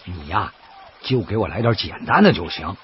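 A man's voice: sits low, very animated, normal speed, a little hiss or hum. A man acting as a plain-spoken, earnest working-class boatman in a radio drama, talking in a folksy, colloquial way. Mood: friendly, humble. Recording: dull band-limited radio drama with music.

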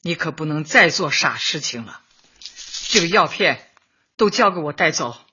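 Older female community secretary, lowish husky voice, kindly persuasion, radio drama with dull sound. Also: expressive, moderate pace, pushed and bright, exaggerated voice. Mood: persuading. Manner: consoling, persuasive. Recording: dull lo-fi, music behind.

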